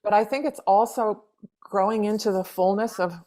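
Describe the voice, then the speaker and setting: A woman's voice: mid-range voice, moderate pace, dark tone. A woman in her fifties, a playwright raised in the United States, talking through her ideas in an interview with many restarts and repeated words. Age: 50s. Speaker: playwright, guest. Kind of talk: reflective interview conversation.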